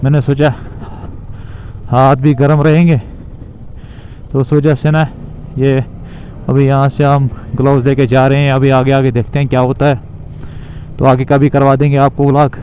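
A man's voice: medium pitch, level tone, moderate pace, long pauses, warm tone. A young man vlogging casually while riding a motorbike through city traffic, talking loosely and repetitively to his viewers. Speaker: moto vlogger.

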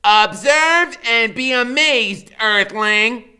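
A man's voice: very high-pitched, slow pace, pushed and bright, smooth, pitched above the performer's own voice, full character voice. A man voicing a tiny, fierce, bookish boy in a storybook, declaiming pedantically. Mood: grandly showing off. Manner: pedantic, declamatory, like reciting a dictionary.

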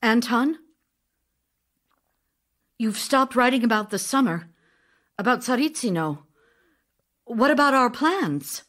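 A woman playing the devoted, wistful wife of an ailing writer in an audio drama, speaking in a tender, measured, earnest way. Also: fairly high pitch, animated, fairly bright tone. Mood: anxious. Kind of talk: audio drama acting, narrated memoir and letters. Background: music with plucked guitar.

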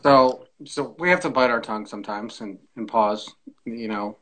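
A man in his forties speaking as an interview guest in a measured, explanatory way, giving practical advice on a video call. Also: medium pitch, a bit bright, poor audio. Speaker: air traffic controller, podcast guest. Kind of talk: conversational interview, explaining tips. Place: small indoor room, video call.

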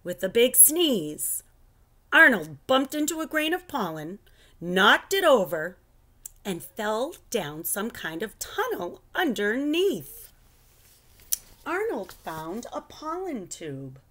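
A middle-aged woman reads a children's story aloud. Her voice sits fairly high and moves with lively, expressive swings. She takes her time, telling it deliberately as a teacher would. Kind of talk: expressive children's picture book read-aloud. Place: living room at home.

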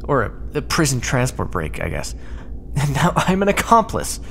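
A man's voice: mid-range voice, huge pitch swings, gravelly, a bit bright, a little hiss or hum, full character voice. A man playing a sarcastic, exasperated starship crewman. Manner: sarcastic, exasperated, quick-tempered. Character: sarcastic, prickly male starship crewman, young adult. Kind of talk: ASMR roleplay acting.

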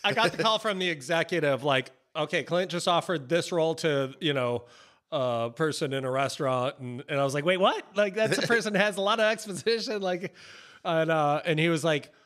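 Higher-pitched American man telling stories. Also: fairly quick. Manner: animated storyteller acting out quoted exchanges. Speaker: podcast host and film director. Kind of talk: casual podcast chat. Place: indoor office, on a video call. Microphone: close boom-arm podcast mic.

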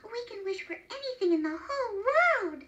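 Woman as an excitable high-pitched woodcutter's wife. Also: unhurried, dull audio. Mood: excited. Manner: exclamatory, emphatic. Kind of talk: children's storybook character reading.

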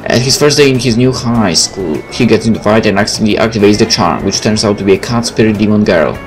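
A man's voice: fairly low pitch, fast, poor audio. A man, a native Polish speaker, hosting a game let's play, reading aloud and commenting casually. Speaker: gaming video host. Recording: faint game music behind.

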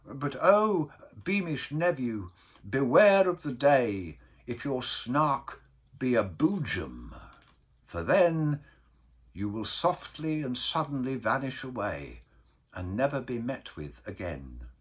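A man voicing a kindly, solemn uncle in a storybook verse, earnest and deliberate as he advises his nephew. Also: mid-range voice, very animated, breathy edge, voice pushed up, full character voice. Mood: grave warning.

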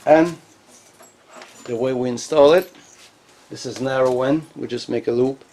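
A middle-aged man casually explaining shop work as a hobby machinist, in a halting, hands-on way. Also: medium pitch, steady tone, dark tone. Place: cluttered garage machine shop. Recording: noisy workshop ambience.

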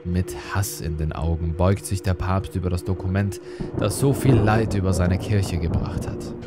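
A man's voice: sits low, fairly even intonation, moderate pace, loud music behind the voice. A man reading a scripted horror story as narrator, with dramatic delivery.

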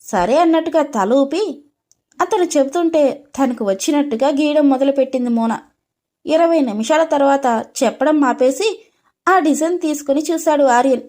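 A woman's voice: high voice, moderate pace, darkish tone. A woman reading scripted dramatic story narration as the storyteller.

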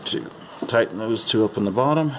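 A man's voice: on the low side, brisk, a little hiss or hum, rough recording. A man talking casually through a hands-on repair job as he works, in an unscripted, folksy how-to style. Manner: casual, folksy instruction, calling parts "her". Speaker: amateur mechanic giving a repair demonstration. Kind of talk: unscripted how-to narration while working. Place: at a car's open engine bay.

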